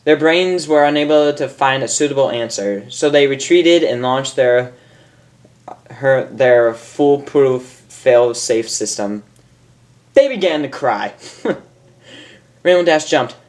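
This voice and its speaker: Slow-paced man casually reading a story. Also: mid-range voice, darkish tone. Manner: informal, stumbles over lines and corrects himself. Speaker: fan fiction reader and host. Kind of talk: casual amateur story narration.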